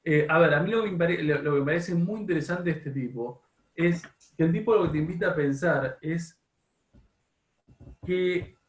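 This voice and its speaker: A man with an Argentine accent leading an informal class discussion as a teacher, often halting, repeating and rephrasing as he goes. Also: a little high. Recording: echoey room, distant from microphone.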